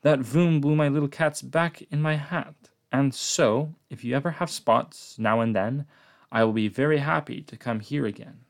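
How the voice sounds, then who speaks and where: A man's voice: mid-range voice, moderate pace, vocal fry, voice pushed up, close to an everyday voice. A man reading aloud as a mischievous, bossy cat in a hat, in a showy storybook delivery. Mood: cheery.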